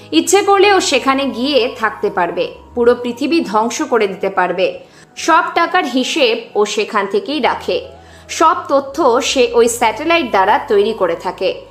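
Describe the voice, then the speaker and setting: Echoey, higher-pitched female story narrator. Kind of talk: scripted dramatic story narration. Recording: roomy echo with music underneath.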